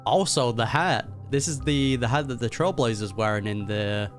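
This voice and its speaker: A man's voice: mid-range voice, normal speed, soft background music. Laid-back young man reacting to a game. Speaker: gaming video creator reacting to a game story. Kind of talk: casual reaction commentary and reading game text aloud.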